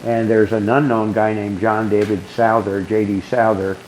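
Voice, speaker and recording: An older man with a low, growly bass voice, talking casually in a group and rambling through stories with many restarts. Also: little pitch movement, moderate pace, a little mellow, slight background noise, poor audio. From Southern California. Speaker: guest being asked questions.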